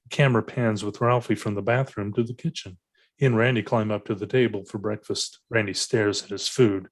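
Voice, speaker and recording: A man's voice: fairly low pitch, fairly even intonation, a little fast. A man reading stage directions as scripted narration.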